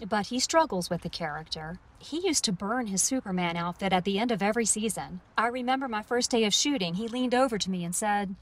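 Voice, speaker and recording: A woman's voice: mid-range voice, lively intonation, brisk, hardly any pauses, lightly acted, poor audio. A woman playing a candid, concerned and rueful 1950s film actress in a naturalistic audio play.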